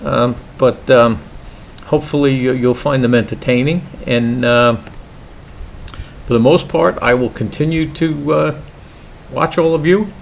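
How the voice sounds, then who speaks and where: An older man with a Brooklyn accent, talking casually and haltingly about his hobby in a home vlog, with many pauses and restarts. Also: medium pitch, vocal fry, a little hiss or hum, poor audio. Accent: New York (Brooklyn). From Brooklyn, New York. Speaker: pipe maker vlogger.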